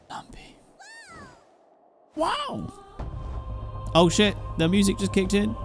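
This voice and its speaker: A man doing excited live game commentary as a streamer, exclamatory, repeating himself and swearing casually. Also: high voice, very slow, soft background music. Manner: exclamatory, repeats words and phrases.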